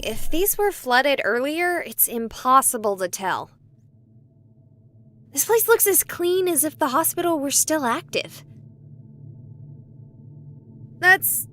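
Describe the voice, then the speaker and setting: A woman playing an excitable, jokey young streamer in a haunted hospital video game, expressive and rambling. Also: high-pitched, slight background noise. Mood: nervous, wry. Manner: expressive, rambling, wisecracking to an unseen audience.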